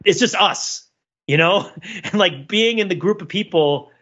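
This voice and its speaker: A man's voice: sits high, unhurried, sharp tone, husky, muffled recording. A man co-hosting a podcast, giving casual, opinionated cultural commentary in a rambling, digressive way, as if thinking aloud. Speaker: podcast co-host.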